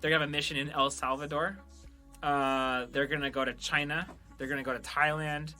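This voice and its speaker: A male podcast host with a strikingly bright, forward voice. He talks casually and earnestly, full of enthusiasm for what he is presenting. Music plays softly behind him. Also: a little high, level tone, brisk. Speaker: podcast host and audio drama creator. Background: background music.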